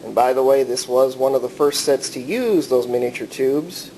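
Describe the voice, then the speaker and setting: A man narrating a casual hands-on show-and-tell as a collector, explaining things in a deliberate way. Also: medium pitch, mellow. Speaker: collector showing a vintage radio. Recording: clearly noisy amateur recording.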